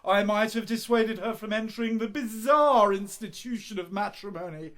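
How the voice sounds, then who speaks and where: An actor playing a witty, arrogant, passionate young poet and student in a radio play, with theatrical, declamatory delivery. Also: male voice, high voice, moderate pace, fairly bright tone. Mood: sardonic.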